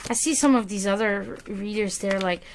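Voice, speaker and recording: A woman's voice: medium pitch, normal speed, background noise. Chatty woman, native German speaker. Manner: chatty, rambling, reads chat aloud. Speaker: psychic reader streamer. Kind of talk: casual livestream chat.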